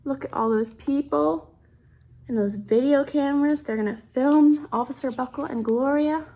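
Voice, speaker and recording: A woman's voice: high-pitched, moderate pace, dark tone. A woman reading a picture book aloud to children, expressively, with simple asides and questions about the pictures.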